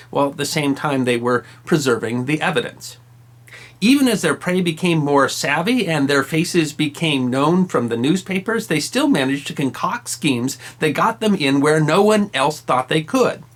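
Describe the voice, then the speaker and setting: A man tells a history story from a script, his pitch sitting a little high. His voice rises and falls a lot as he leans on key words. He delivers dry, wry asides with emphasis. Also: a bit bright. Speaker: history channel host.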